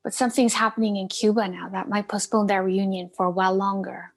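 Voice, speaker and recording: A woman's voice: mid-range voice, little pitch movement, fast talker, lightly acted. A woman playing a caring but firm middle-aged Cuban aunt in a play table read, coaxing and mixing in Spanish endearments. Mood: coaxing, careful.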